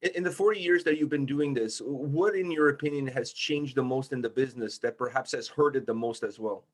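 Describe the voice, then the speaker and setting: A middle-aged man from Portugal hosts an interview in a conversational style. His voice sits at a medium pitch. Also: brisk. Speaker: interviewer, channel host.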